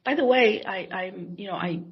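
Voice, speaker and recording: A woman's voice: mid-range voice, moderate pace, lo-fi sound. A middle-aged woman whose first language is Hebrew, an author answering interview questions in a hesitant, reflective way with many restarts.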